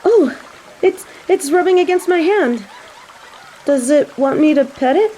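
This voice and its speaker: A woman's voice: high voice, expressive, unhurried, dark tone, a little hiss or hum. A woman voicing a snobby but secretly sweet young angel who stammers and gets flustered, in a romantic audio roleplay. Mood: shy wonder. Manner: stammering, flustered, haughty when embarrassed.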